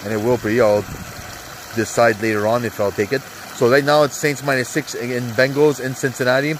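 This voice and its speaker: Congested man, mid-pitched, casual rambling sports picks vlog from an outdoor spa, faint background noise. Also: steady tone, normal speed, poor audio. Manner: rambling, many fillers, hyped asides. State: congested, sore throat. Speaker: sports betting vlogger. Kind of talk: casual NFL picks rundown. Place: outdoor hot tub on a cold day.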